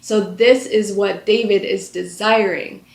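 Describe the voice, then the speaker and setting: A woman in her thirties teaches scripture from a couch indoors, her voice a mid-pitched one with a gravelly fry running through it. She talks earnestly and casually, pausing on fillers, and the room rings around her. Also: fairly even intonation. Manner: earnest, conversational, with frequent "um" fillers. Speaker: Bible study host. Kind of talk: scripture reading and devotional teaching. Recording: echoey room.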